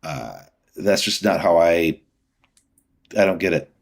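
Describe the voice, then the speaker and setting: A man's voice: sits low, expressive, slow, vocal fry. A middle-aged man talking casually as an interview guest, explaining things in a rambling, easygoing way. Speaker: podcast guest, whiskey distiller.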